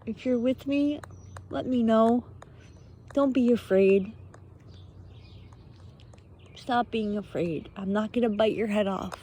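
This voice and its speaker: A woman giving an earnest, unscripted spiritual pep talk in short, halting phrases, often posing rhetorical questions. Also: fairly high pitch, dark tone, slight background noise. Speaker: motivational speaker, vlogger.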